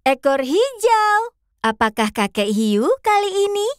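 A woman plays a perky young fox who hosts a children's cartoon and calls out to the kids watching. Her voice is high and lively, with big swoops, and she takes her time. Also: unhurried, no room sound, studio quality. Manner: bright, coaxing, talks to kids. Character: cheerful young pink fox host. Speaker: host guiding a hide-and-seek game.

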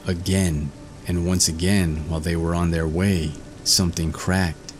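A man narrating a scripted fairy tale as a storyteller, in a measured, formal manner. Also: deep voice, little pitch movement, slight background noise.

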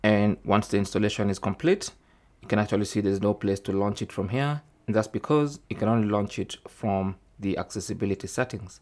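A man's voice: lowish voice, steady tone, a little fast, a little mellow. A man presenting a tech tutorial, narrating step by step in a methodical, informal way. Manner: methodical, informal, often tags phrases with "okay".

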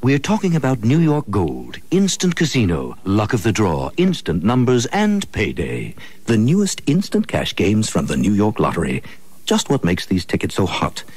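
A man's voice: on the low side, wildly swooping pitch, normal speed, a little mellow, husky, dry recording, exaggerated voice. An American man playing a grandiose, tongue-in-cheek theatrical announcer in a scripted radio ad, pompous and hyped. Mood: grandly hyped. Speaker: commercial announcer. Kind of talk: mock-dramatic scripted radio ad.

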